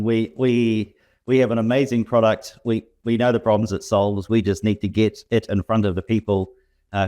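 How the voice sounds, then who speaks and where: A man with a New Zealand accent, a guest in an interview, talking in a relaxed way with many ums. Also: on the low side. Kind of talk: casual interview.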